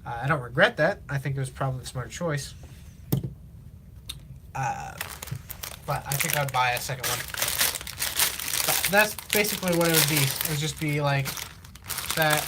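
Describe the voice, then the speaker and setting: A teenage man from Illinois, chatting casually on a live stream while he builds, rambling with lots of fillers, his voice slightly raspy from long talking. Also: medium pitch, background noise. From Illinois, USA. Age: teens. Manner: rambling, halting, many fillers and restarts. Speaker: livestreamer.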